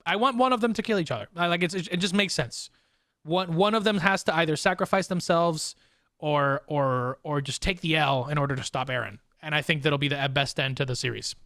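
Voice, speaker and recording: Casual male podcast host, fairly high voice. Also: a little fast, sharp tone, no echo, studio quality. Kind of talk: casual fan discussion of anime.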